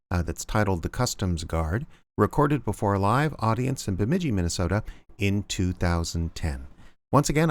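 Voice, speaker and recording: A man's voice: fairly low pitch, no echo. A man presenting his own audio drama reel as producer, in a semi-scripted narration.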